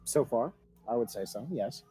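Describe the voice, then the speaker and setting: A man with a husky voice casually running an online tabletop game as game master, given to swearing. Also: medium pitch, moderate pace, dark tone, soft background music, low-quality recording.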